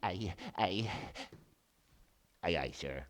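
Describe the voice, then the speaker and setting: A tiny, timid male mouse from a children's storybook, as a male storyteller puts him on. He stammers and stumbles over his words, yet his heart is kind. His pitch jumps around a lot, and he often talks slowly. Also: animated, full character voice. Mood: stammering. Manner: nervous stammering, eager.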